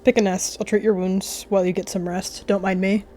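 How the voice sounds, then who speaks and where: A woman's voice: medium pitch, level tone, brisk, warm tone, faint music behind, lightly acted. A woman voicing a scheming, blunt medicine cat with dry politeness in a game roleplay. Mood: calm, assured.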